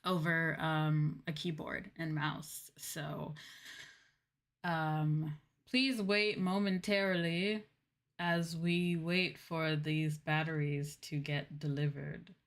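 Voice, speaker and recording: A woman's voice: low voice, flat delivery, slow pace. A woman livestream host chatting casually to her viewers in an informal, gushing way. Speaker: game livestream host, VTuber.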